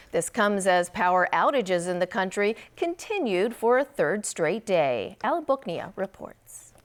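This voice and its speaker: A woman anchoring a news bulletin, reading scripted introductions to reports. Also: medium pitch.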